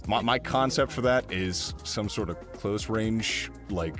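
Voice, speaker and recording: A young American man chatting casually in an interview as a voice actor, telling stories in an animated, amused way. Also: lowish voice, slow pace, music in the background.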